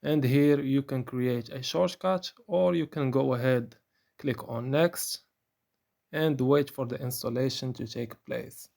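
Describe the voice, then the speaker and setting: A man with a gravelly, creaky voice narrates a software tutorial step by step. His pace is unhurried and his pitch sits in an ordinary male range. The delivery is plain and instructional.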